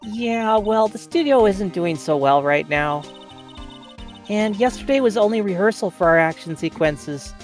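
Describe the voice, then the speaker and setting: A woman's voice: medium pitch, animated, unhurried, soft background music, lightly acted. A woman voicing a chatty, earnest young female studio assistant in an amateur video game dub. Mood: explaining.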